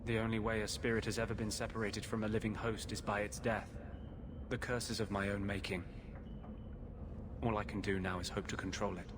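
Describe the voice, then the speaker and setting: A low-voiced, tormented mage healer played by a male actor for a fantasy game. He speaks with brooding, earnest intensity, as a man haunted by vengeance, over clearly audible ambient noise. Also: level tone, fairly quick, lightly acted. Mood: anguished, intense. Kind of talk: dramatic video game acting.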